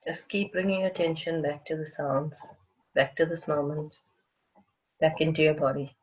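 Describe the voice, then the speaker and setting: A guided-meditation voice of middling pitch, soothing and unhurried, leaving long pauses between gentle phrases. The recording is dull and lo-fi, with the highs rolled off. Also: fairly even intonation.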